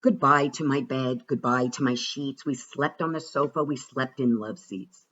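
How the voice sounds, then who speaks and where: A man reading a rhyming children's storybook aloud with a rhythmic, expressive and deliberate delivery. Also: mid-range voice, muffled recording. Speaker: reader of a children's picture book.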